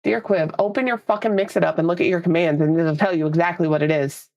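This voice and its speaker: A woman's voice: lowish voice, fairly even intonation, quick pace, darkish tone, muffled recording. Congested, nasal young woman streamer. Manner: chatty, rambling, laughs easily. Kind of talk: casual live-stream chat.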